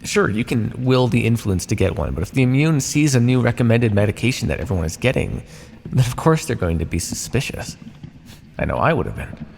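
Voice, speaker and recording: A man playing a sardonic vampire captor in an ASMR roleplay, speaking conversationally with hesitant pauses. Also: mid-range voice, creaky, a little mellow. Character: teasing, menacing male vampire captor, centuries old scientist. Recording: long hall reverb, faint background noise.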